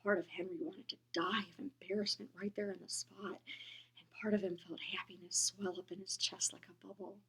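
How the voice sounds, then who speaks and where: A woman reading a children's book aloud, gentle and expressive, often dropping into a whisper. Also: mid-range voice, moderate pace, husky, low-quality recording. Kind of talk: storybook read-aloud.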